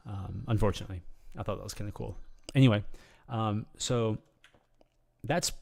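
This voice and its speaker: A man with a slightly hoarse voice giving an unscripted, rambling game tutorial, full of ums and restarts in a relaxed, casual way. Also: fairly low pitch, normal speed. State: slightly hoarse, losing his voice. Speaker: game guide video creator.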